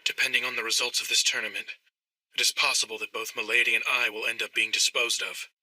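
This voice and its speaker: A man voicing a composed young gentleman tutor in a dubbed anime, speaking in a measured, formal, dry way. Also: medium pitch, level tone, no room sound, recorded from a distance, rough recording. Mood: pensive, composed. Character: composed young gentleman tutor and attendant, dryly sardonic, devoted.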